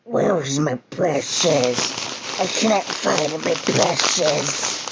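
A slow gravelly treasure-crazed creature. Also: male voice, on the high side, heavily acted. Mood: frantic. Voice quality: gravelly, croaking. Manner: drawn-out, whining, cursing demands. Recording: muffled lo-fi with steady background noise.

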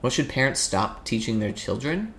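A man's voice: medium pitch, big pitch swings, normal speed, fairly bright tone. A man with a Canadian accent giving casual, joking and somewhat hesitant answers in an interview. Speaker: podcast guest.

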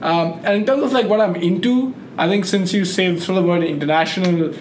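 A man's voice: high voice, brisk. A man, a podcast guest talking casually with lots of fillers and restarts. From India. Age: 20s. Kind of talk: casual conversation. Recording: echoey room, faint noise.

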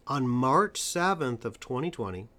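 A man interviewing a guest in a conversational way, stressing points emphatically and slowing down for them. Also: medium pitch, sing-song, pushed and bright. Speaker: interviewer and stuttering coach.

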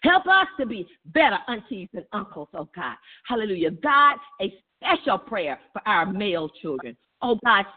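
A woman leading fervent charismatic prayer in an impassioned, repetitive, chant-like way over a tinny phone line. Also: on the high side. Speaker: prayer leader. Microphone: telephone.

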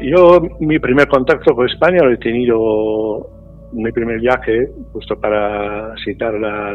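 A middle-aged Italian man speaking Spanish with an Italian accent, talking in a radio interview with frequent pauses and fillers. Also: medium pitch, a bit bright, low-quality recording. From Italy. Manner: hesitant, many fillers and restarts. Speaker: interview guest.

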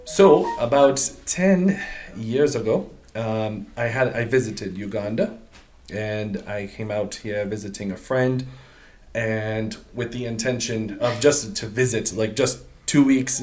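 A middle-aged man from Portugal speaks as a podcast guest, easygoing and chatty. He wanders through his story with plenty of fillers, slangy asides and restarts. His voice sits at an ordinary middle pitch. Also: measured pace. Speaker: guest, business owner. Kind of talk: casual interview chat.

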